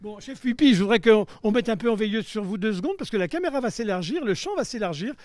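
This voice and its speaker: Playful high-voiced male interviewer. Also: lively intonation, fast, a little mellow, no echo, clean studio sound. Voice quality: playful, teasing. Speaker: host. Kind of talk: live street interview. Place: outdoors by the seafront.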